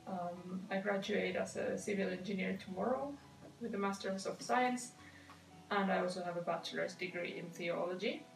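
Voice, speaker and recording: A woman's voice: medium pitch, normal speed, vocal fry, soft background music. A woman in her thirties from Finland, a human rights advisor introducing herself and her work. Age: 30s. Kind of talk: self-introduction, talking to camera. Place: indoor room. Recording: echoey room, voice from a distance.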